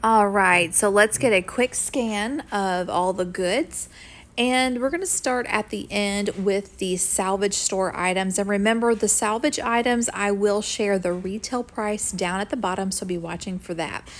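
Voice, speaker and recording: A woman, casually chatting through her grocery haul and weekly meal plan at home. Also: mid-range voice. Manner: chatty, friendly, quick asides. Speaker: homestead vlogger. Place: home kitchen. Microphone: camera a few feet away.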